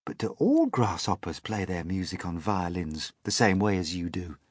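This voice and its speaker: A man's voice: low-pitched, very animated, normal speed, breathy edge, no room sound, studio quality, full character voice. A man voicing a curious, polite small boy who asks earnest questions. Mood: wondering. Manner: wide-eyed, earnest, questioning.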